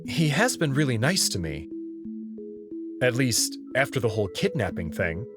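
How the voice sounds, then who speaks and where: A man with a mid-range voice narrates a first-person story. His intonation is highly varied as he shifts between description and dialogue, and music plays clearly behind him. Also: sing-song, moderate pace. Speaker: narrator and player reader.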